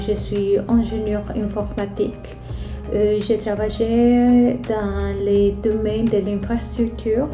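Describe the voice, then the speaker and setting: Slow, mellow Spanish-accented woman vlogger. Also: mid-range voice. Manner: careful, hesitant non-native French delivery. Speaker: vlogger, channel host. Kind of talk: casual personal storytelling to camera. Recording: roomy echo, music underneath. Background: background music.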